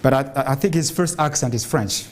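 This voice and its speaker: A man on a debate panel speaks at a middling pitch, often restarting his words as he builds his argument. A faint noise and low rumble sit behind his voice. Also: brisk. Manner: hesitant, stuttering repeated starts. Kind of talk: round-table debate, spontaneous argument.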